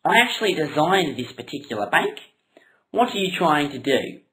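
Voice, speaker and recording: A woman's voice: deep voice, sing-song, moderate pace, fairly bright tone, low-quality recording. A woman voicing a thin, pedantic male engineer with an annoying nasal voice in a comic puppet show. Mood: pompous, earnest.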